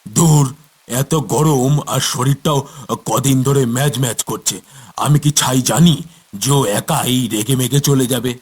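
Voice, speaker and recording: A man voicing a grumbling, defensive villager with a husky voice in a cartoon, complaining and suspicious. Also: mid-range voice, measured pace. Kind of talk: cartoon character acting.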